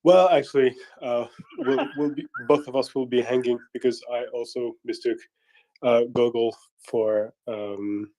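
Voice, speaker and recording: A man's voice: mid-range voice, steady tone, measured pace, mellow, low-quality recording. A man co-hosting a live audio chat, chiming in with polite, apologetic corrections.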